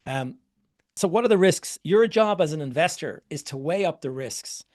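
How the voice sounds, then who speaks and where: A man's voice: on the high side, lively intonation, normal speed, a little mellow. A middle-aged man from Ireland giving a workshop talk to a live group, conversational, often asking a question and then answering it himself. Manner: conversational, repeats words, asks questions and answers them. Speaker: property investment coach.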